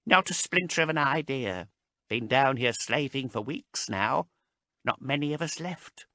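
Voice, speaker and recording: A man voicing a small, fearful male abhuman slave who talks in a hushed, pleading way, pitched well above his own voice. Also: animated, unhurried, no echo, clean studio sound. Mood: resigned.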